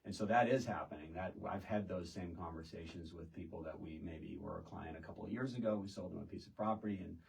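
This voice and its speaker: A middle-aged American man with a very low voice talks as a guest in a casual conversation. He explains in a halting, rambling way with asides, sitting some way off the microphone. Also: gravelly. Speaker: podcast guest, realtor. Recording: distant microphone.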